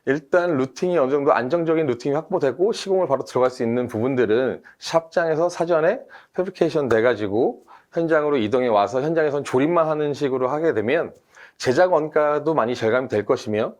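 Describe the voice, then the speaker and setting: A man speaks in a mid-range voice, a team leader laying out his points methodically for a webinar audience. He is steady and explanatory, and he often pauses on small fillers as he works through each idea. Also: medium pitch. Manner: measured, methodical, with frequent fillers. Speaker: team leader, industry expert guest.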